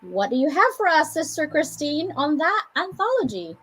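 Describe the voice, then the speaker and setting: A woman from the Philippines with an American accent, co-hosting a casual chat and giving an animated motivational talk with joking asides. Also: high voice, moderate pace.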